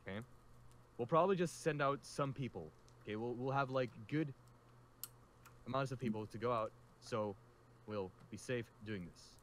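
A man's voice: mid-range voice, normal speed, slight background noise, close to an everyday voice. A man playing a level-headed, peacemaking survivor in an improvised zombie roleplay, reasoning and placating. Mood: calm, persuading.

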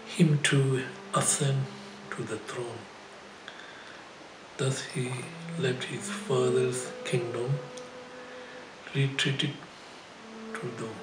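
An older man, a Buddhist teacher, reads a scripted life story aloud in a halting, phrase-by-phrase way. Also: mid-range voice. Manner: halting, phrase-by-phrase delivery with frequent throat clearing. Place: indoor shrine room. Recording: roomy echo, music behind.

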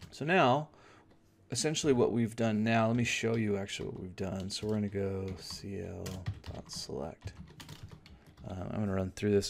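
Low, gravelly-voiced man talking through a tutorial. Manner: casual, halting step-by-step explaining. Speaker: software tutorial instructor. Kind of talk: informal unscripted screencast tutorial, thinking aloud. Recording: noisy room with keyboard clicks. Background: typing and mouse clicks.

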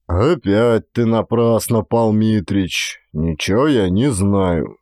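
A man's voice: on the low side, takes it slowly, darkish tone, no echo, clean studio sound, pitched below the performer's own voice. A man voicing a stolid, sly peasant Cossack daredevil who plays innocent, with serious rustic folk speech, in a dramatised audiobook. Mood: earnest storytelling. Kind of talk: dramatised audiobook character acting.

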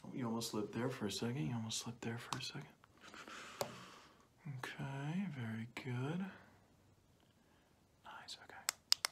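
A young man speaking softly through a cloth mask in an ASMR roleplay as an examiner, often dropping into whispers and repeating short phrases close to the mic. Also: medium pitch, measured pace, long pauses. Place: small plain indoor room. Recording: clearly noisy home recording with clicks.

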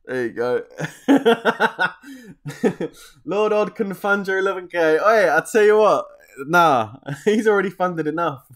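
A man's voice: medium pitch, a bit bright. A man, chatting casually on a game live stream, joking in slang, laughing often and answering viewer questions from his desk at home.

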